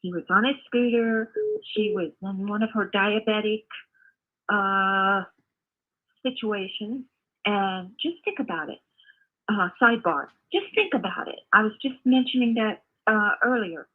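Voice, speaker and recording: Slow, halting older woman on the phone. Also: mid-range voice. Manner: halting, earnest, repeats herself. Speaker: caller, mother of the host. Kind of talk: casual phone-in opinion. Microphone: phone call. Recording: muffled, narrow phone call audio.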